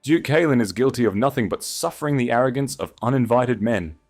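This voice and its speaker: A man's voice: medium pitch, expressive, brisk, faint music behind, pitched above the performer's own voice, lightly acted. A man voicing a proud, resolute young princess in an audiobook, firm, regal and cool. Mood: commanding. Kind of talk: audiobook character voice.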